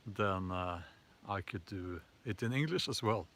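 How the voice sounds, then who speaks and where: A man with a Norwegian accent hosting a casual live chat and answering viewer comments, talking haltingly with many fillers and restarts. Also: sits low, fairly bright tone. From Norway.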